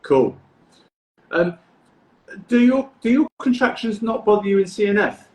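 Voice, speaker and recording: A man from the UK, a freediving coach, hosting a casual podcast interview in a relaxed, encouraging way, often repeating words as he starts a thought. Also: high-pitched, a little slow, reverberant. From United Kingdom.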